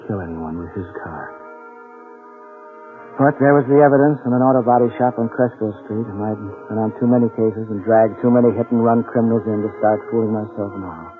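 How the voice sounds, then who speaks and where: A man's voice: on the low side, close to an everyday voice. An actor playing a troubled, defensive middle-aged police detective in an old-time radio drama, with a guilt-ridden father's confessional manner. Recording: narrow tinny vintage radio, faint music.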